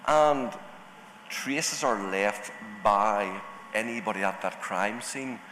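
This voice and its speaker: A man from Northern Ireland with a Northern Irish accent, speaking deliberately as a panelist at a conference. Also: on the low side, a bit bright, a little hiss or hum. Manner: deliberate, enunciating carefully. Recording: echoey hall with faint ambience.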